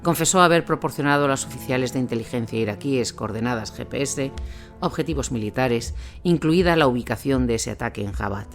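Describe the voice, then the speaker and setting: A man narrating a scripted audio drama as a war reporter, in a measured, solemn storytelling manner. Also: medium pitch, lively intonation, close to an everyday voice. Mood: grave, measured. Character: male war reporter recounting grim events in Iraq. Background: music bed.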